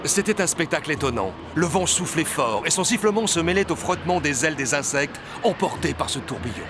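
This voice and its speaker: A man's voice: fairly high pitch, expressive, fairly quick. A man giving scripted narration of a story as narrator. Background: music, outdoor ambience effects.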